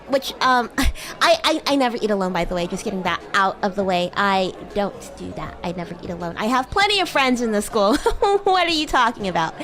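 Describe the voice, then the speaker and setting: A woman's voice: a little high, fairly bright tone. A woman streamer improvising a roleplay as a bratty demon schoolgirl classmate, with a playful, teasing, giggly manner. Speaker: VTuber streamer. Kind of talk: improvised roleplay livestream chat.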